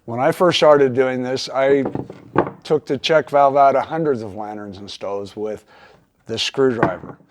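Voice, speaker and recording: A man's voice: medium pitch, measured pace. A man giving a hands-on tutorial in a plainspoken, methodical way with folksy phrasing. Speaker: instructor, repair video host.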